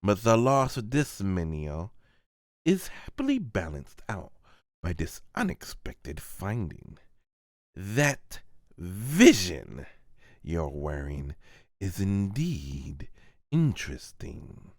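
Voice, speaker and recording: A sinister mad scientist from a manga voice-over, as a man acts him. He speaks very slowly with a creaky edge, theatrical and condescending, coldly amused by whoever he addresses. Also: low voice, wildly swooping pitch, no echo, studio quality, exaggerated voice. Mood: cold menace. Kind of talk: amateur manga voice-over acting.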